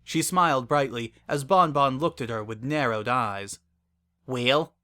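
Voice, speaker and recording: A man's voice: medium pitch, expressive, normal speed, pushed and bright. A man reading scripted dramatic narration as a story narrator.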